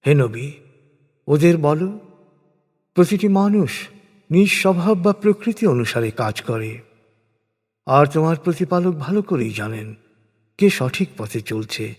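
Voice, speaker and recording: A man giving a solemn, measured scripted reading of translated scripture, phrase by phrase with pauses. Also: a little high, lively intonation, a little mellow. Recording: long hall-like reverb.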